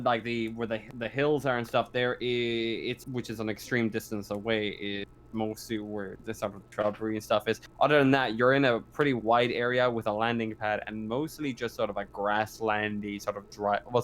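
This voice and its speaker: A man's voice: lowish voice, level tone, moderate pace, few pauses, a bit bright, slight background noise. A man running a tabletop game as game master, improvising his narration and often stammering or revising his phrases as he goes.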